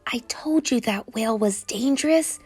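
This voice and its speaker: A woman's voice: fairly high pitch, moderate pace, darkish tone, soft background music, natural voice. Woman voicing a grumpy, suspicious storybook fish. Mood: wary. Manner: irritable, alarmist. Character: grumpy, suspicious male fish with jagged fins.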